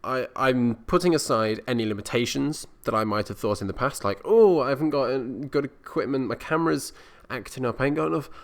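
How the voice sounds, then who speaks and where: A young man records a casual solo audio diary in a middle-pitched voice. He rambles along informally and self-deprecatingly, swearing a little as he goes. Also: fairly quick. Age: young adult. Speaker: vlogger. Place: indoor university communal area at night.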